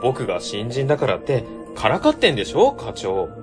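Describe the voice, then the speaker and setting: A man playing an earnest, polite young rookie civil servant in a comedic radio drama, quick to react. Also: mid-range voice, huge pitch swings, music in the background. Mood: skeptical. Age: young adult.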